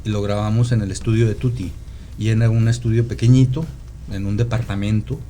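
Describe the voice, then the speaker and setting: Male, Guadalajara Mexican accent, dark relaxed tone. Meandering, halting raconteur in casual interview, slight background noise. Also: on the low side. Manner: halting, meandering storyteller who seeks confirmation with "¿no?". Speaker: podcast guest, rock musician. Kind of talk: casual reminiscing conversation.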